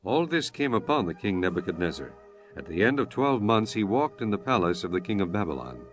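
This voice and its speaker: A man narrating dramatized scripture in a solemn, formal, measured manner. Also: on the low side, very animated, a bit bright, soft background music.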